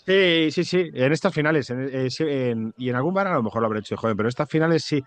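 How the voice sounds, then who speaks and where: A man's voice: mid-range voice, quick pace. A man from Madrid with a Spain Spanish accent, chatting anecdotally as a guest, often hesitating and repeating his words. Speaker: podcast guest, journalist and screenwriter. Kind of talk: casual anecdotal chat.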